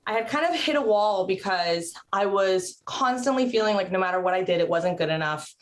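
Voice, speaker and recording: A woman's voice: mid-range voice, expressive, fairly quick. A woman in her thirties who grew up in Mexico, a guest talking casually over a video call, chatty, with many fillers and restarts. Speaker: interview guest, actress and writer. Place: indoors at home, curtained room.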